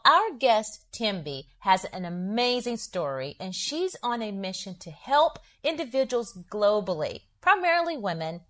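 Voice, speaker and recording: A woman's voice: mid-range voice, a bit bright. A woman hosting a podcast, reading an upbeat prepared welcome and introduction of her guest. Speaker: podcast host.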